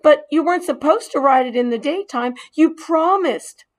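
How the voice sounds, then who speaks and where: A woman's voice: a little high, very animated, measured pace, voice pushed up. A woman reading aloud and voicing a young boy in a storybook, eager and at times reproachful. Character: young boy, trusting then hurt.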